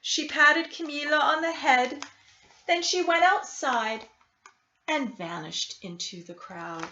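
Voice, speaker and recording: Slow, lively woman reading a storybook. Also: high voice, lo-fi sound, a little hiss or hum. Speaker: storybook reader. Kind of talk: expressive children's story narration.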